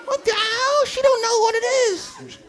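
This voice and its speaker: A very high, squeaky puppet voice, brash and heckling, throwing insults at the crowd with loud teasing shouts. It sounds cheeky and rude, clearly a made-up character voice. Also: female voice, fairly even intonation, unhurried. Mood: sassy. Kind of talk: ventriloquist puppet act.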